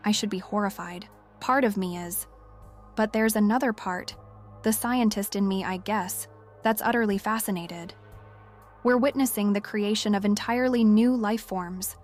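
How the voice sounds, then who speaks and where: Actress as woman scientist doing a lab log, kinda scared and obsessed, halting and intense, bit of music behind. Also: medium pitch, a little mellow, lightly acted. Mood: awed unease. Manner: hesitant, confiding, intense. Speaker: voice actor in audio drama. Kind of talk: dramatic monologue as spoken diary. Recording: music under the voice.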